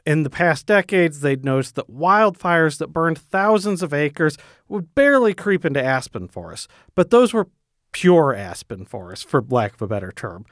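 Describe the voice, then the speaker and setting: A man's voice: a little high, big pitch swings, moderate pace, fairly bright tone, dry recording, studio quality. A man working as a news reporter, explaining a story in a conversational radio report.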